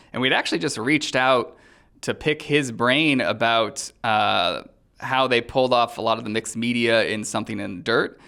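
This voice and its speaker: A man's voice: medium pitch, gravelly, sharp tone. A man speaking as an interviewed filmmaker, chatting casually and telling stories with bits of acted-out dialogue. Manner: chatty, acts out quoted dialogue. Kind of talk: casual animated interview storytelling.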